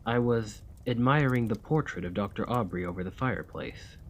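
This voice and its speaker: A man playing a centuries-old vampire gentleman in a scripted ASMR roleplay, weary and courteous, with formal, old-fashioned manners and a measured delivery. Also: lowish voice, a little hiss or hum, natural voice. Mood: confessional, sorrowful.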